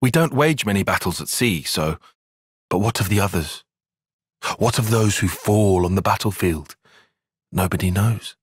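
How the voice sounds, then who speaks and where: Game cutscene role: earnest young male tactician, bookish and analytical, animated intonation, slightly husky, dry close sound. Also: lowish voice, no room sound. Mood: calm, thoughtful. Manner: measured, formal, analytical phrasing. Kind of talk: video game cutscene voice acting.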